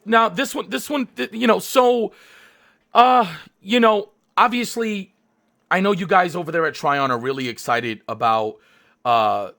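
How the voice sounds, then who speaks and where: Streamer guy, bright punchy voice, all hyped up, lots of um and you know. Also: high-pitched, a little slow, gravelly. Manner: energetic, hyped, lots of fillers and restarts. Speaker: streamer and interviewer. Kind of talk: live stream interview.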